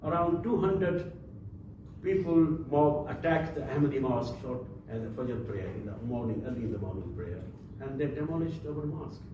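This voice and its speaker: A man speaking as an activist, giving testimony and listing demands haltingly, with restarts. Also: mid-range voice, animated. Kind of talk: testimony and list of demands at a panel. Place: large conference room. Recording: echoey room, distant mic, noisy.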